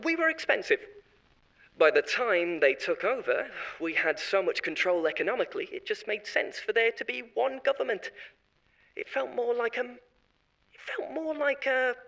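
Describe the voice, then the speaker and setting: An actor playing a flippant male corporate insider who confesses dark secrets in a glib, chuckling, self-justifying way, in a horror audio drama. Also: very high-pitched, expressive, normal speed, vocal fry, exaggerated voice.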